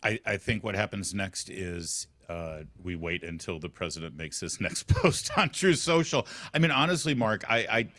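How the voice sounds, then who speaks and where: A man co-hosting a political talk show, talking in an animated way with quick restarts and repeated words. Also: sits low, no echo. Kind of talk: casual political chat.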